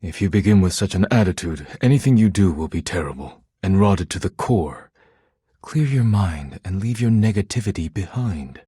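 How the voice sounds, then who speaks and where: A solemn male artisan from a video game, with a low and very husky voice. He chooses formal, poetic words and speaks with measured, romantic earnestness. The sound is close and dry. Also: expressive, dark tone, no echo, full character voice. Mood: stern. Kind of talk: video game character dialogue.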